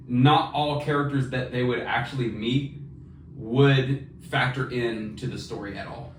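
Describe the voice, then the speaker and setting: A man's voice: mid-range voice, steady tone, unhurried. A man co-hosting a podcast, chatting casually with informal, bro-ish slang. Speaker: podcast co-host; fiction writer. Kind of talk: casual chat. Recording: very echoey room, distant mic, faint noise.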